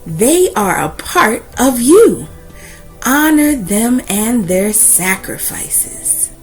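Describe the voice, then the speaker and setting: A woman reading a children's picture book aloud as host, in a deliberate, emphatic way with dramatic pauses. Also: a little high, sing-song, soft background music.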